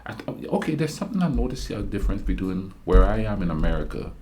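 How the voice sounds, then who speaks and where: Man from the Caribbean, reaction host, low warm voice, rambling casual chat. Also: fast talker, a little hiss or hum. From Caribbean island. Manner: relaxed rambling with fillers.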